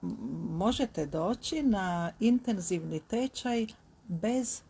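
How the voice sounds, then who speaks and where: A woman's voice: mid-range voice, animated, slow, warm tone, slightly rough. A woman language teacher answering questions in simple, patient Croatian that learners can follow.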